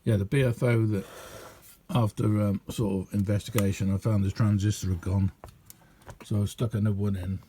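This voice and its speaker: A man giving a casual, rambling hands-on demonstration as a radio hobbyist, chatty and hesitant in an unhurried way. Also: lowish voice, flat delivery, dark tone, slight background noise.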